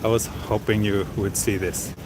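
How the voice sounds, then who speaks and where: A man's voice: on the low side, level tone, moderate pace. Chatty man on a street live stream. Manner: chatty, reads viewer comments aloud, hesitant fillers. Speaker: livestream walking vlogger. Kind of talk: casual live-stream chat. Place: outdoors on a quiet city street. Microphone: handheld camera with small recorder mic and wind cover. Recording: street noise around handheld mic. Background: city street ambience.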